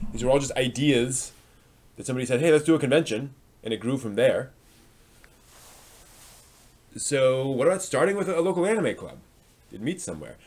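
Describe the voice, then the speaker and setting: A man hosting a live stream, talking casually and unscripted to viewers, often thinking aloud in a hesitant, rambling way. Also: medium pitch.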